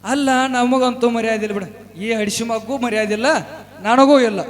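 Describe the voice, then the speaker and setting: A man's voice: very high-pitched, steady tone, normal speed. A man playing a bossy, mocking jail policeman with a North Karnataka Kannada accent. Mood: mocking gruff. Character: grumbling comic jail policeman. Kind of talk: comic stage drama acting.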